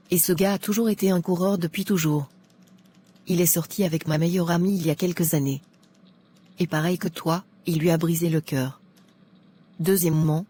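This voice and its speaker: A woman's voice: lowish voice, dark tone, husky. A woman playing a devout character in her thirties in a cartoon drama, speaking earnestly, like a kindly advisor. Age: 30s. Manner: earnest, counselling.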